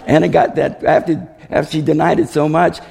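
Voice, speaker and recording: A man speaking as a missionary, giving a storytelling testimony to a congregation in an animated way, acting out dialogue. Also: fairly high pitch, fairly quick, gravelly. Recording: reverberant hall.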